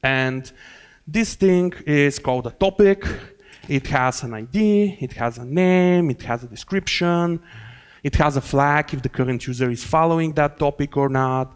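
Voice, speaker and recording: A man's voice: fairly high pitch, clear, resonant tone. Bulgarian man giving a conference talk. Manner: loose, filler-heavy explaining with restarts. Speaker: conference speaker. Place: conference hall.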